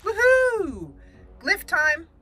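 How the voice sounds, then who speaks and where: A woman's voice: extremely high pitch, huge pitch swings, drawn-out words, background noise. A woman hosting a casual roleplay game playthrough, chatty and giggly with playful exclamations. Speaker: let's play host. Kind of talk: casual gameplay commentary.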